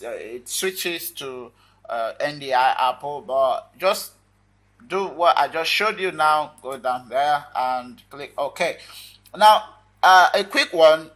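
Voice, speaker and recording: A man hosting a casual software tutorial, talking viewers through the steps informally in short phrases. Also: fairly high pitch, lively intonation, slow pace, low-quality recording. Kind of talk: casual screen-recorded tutorial talk.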